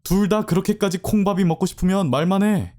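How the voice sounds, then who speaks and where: A man's voice: high voice, fairly quick, natural voice. A man playing a confident young law student who faces down thugs, then speaks politely. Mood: assertive. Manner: cool and assertive, then polite and gentle. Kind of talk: amateur audio drama acting.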